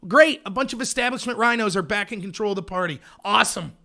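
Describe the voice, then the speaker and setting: High-voiced sarcastic man ranting. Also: fast talker, creaky, bright, forceful tone. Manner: emphatic, sarcastic, rhetorical questions. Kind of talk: political livestream rant.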